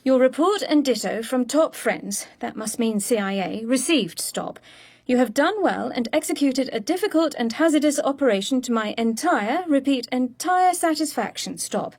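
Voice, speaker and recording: A woman acting a brisk, fussy, devoted secretary to a spy in a radio drama, eager and proper in her expressive delivery. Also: high-pitched. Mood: reading out, pleased.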